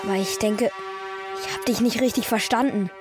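A woman playing a calm, curious, direct young schoolboy in a German children's radio play. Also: a little high, normal speed, loud music behind the voice, natural voice. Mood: challenging.

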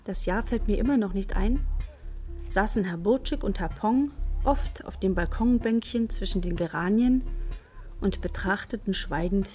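A woman's voice: mid-range voice, moderate pace, warm tone, faint music behind. A woman narrator reading scripted storytelling passages for a children's radio play.

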